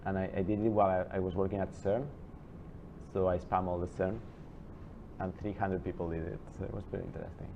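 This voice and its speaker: A man giving an informal classroom lecture, chatty and digressive, with many halting restarts and asides. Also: sits low, flat delivery, gravelly, slight background noise. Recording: dull lo-fi classroom recording.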